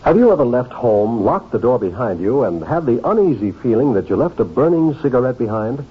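A man's voice: mid-range voice, very animated, fairly quick, husky. A man reading a scripted 1950s radio safety announcement in an emphatic, solemn announcer's manner. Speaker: public service announcer. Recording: tinny, phone-like old radio recording.

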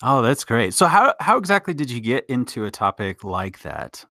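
A man's voice: mid-range voice, big pitch swings, normal speed, pushed and bright, no echo, clean studio sound. A man hosting a podcast, introducing his guest and asking questions in an upbeat way. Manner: upbeat, brief affirmations. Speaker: podcast host. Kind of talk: podcast interview.

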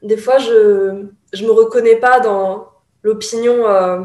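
A woman's voice: medium pitch, steady tone, slow pace, a little mellow, roomy sound. A young woman from Taiwan, a guest in a casual chat, speaking thoughtfully with many hesitations. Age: young adult.

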